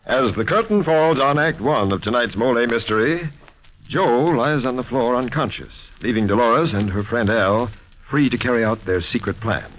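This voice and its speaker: Animated male old-time radio mystery narrator. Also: mid-range voice, normal speed. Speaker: host and narrator. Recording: dull, lo-fi 1940s radio recording.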